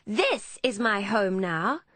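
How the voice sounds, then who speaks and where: A woman's voice: fairly high pitch, sing-song, slow, sharp tone, husky, dry recording, pitched above the performer's own voice, exaggerated voice. A woman voicing a defiant, pouting seventeen-year-old would-be actress in an audiobook, with a girlish dramatic manner. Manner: petulant, triumphant, girlishly dramatic.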